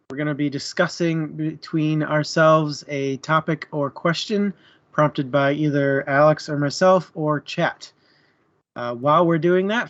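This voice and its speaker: Male co-host for an informal book chat show. Voice a bit high, recording a little lo-fi. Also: a bit bright. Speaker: podcast co-host. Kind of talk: casual book-chat livestream hosting.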